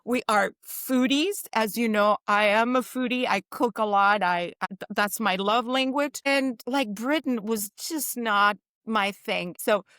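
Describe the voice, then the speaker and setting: A woman of around sixty with a French accent, a native Spanish speaker raised in Spain and Portugal, telling stories as an interview guest in a lively, chatty way. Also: a little high, unhurried, clean tone, dry recording, studio quality. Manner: lively, chatty, acts out other people's lines. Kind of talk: casual storytelling in an interview.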